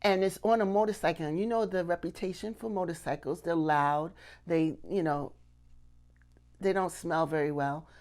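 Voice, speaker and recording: A woman's voice: fairly low pitch, normal speed, a little mellow. A middle-aged woman giving a casual lesson to camera, conversational and often checking in with little questions. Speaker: puppetry instructor and channel host. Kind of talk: casual instructional talk to camera. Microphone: clip-on lapel mic.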